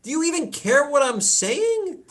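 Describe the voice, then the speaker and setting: An actress as a stubborn, anxious patient in a play reading. She is fretful and demanding, with pitch that swings widely from line to line. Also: very animated, a little slow. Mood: exasperated. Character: anxious, stubborn patient, a middle-aged mother fretting over her wrist. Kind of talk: live play reading over video call. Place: indoors, remote video call performance.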